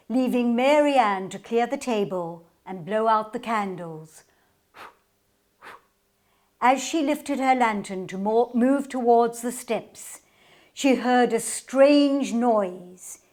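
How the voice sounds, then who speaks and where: A woman performer presenting a radio play in a deliberate, theatrical storytelling style. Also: on the high side, darkish tone. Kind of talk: theatrical scripted narration and demonstration.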